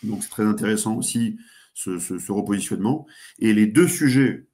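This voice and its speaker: A man with a lowish voice talks through political analysis as a studio guest. He hesitates constantly, circling back with fillers and restarts before landing his point. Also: moderate pace, warm tone. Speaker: guest commentator. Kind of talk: geopolitical analysis in a talk show.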